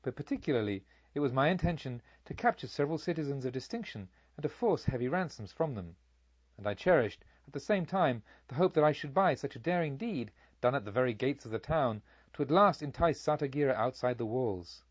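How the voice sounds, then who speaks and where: A man's voice: medium pitch, lively intonation, a little fast, no echo, muffled recording, lightly acted. Earnest reformed bandit, read by a male narrator, dry sound. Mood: confessional. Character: reformed bandit, earnest, urgent, speaking to a noble lady.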